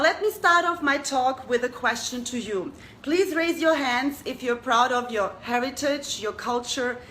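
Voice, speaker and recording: A woman's voice: high voice, bright, forceful tone, a little hiss or hum. A young woman from Germany hosting a talk show, speaking to an audience in an earnest way and asking them rhetorical questions. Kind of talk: addressing an audience.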